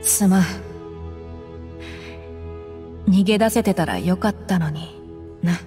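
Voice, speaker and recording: A woman's voice: lowish voice, moderate pace, warm tone, faint music behind, close to an everyday voice. Woman as blunt tomboyish young mercenary. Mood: apologetic, subdued. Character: tough, plain-spoken young female desert mercenary. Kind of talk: video game voice acting.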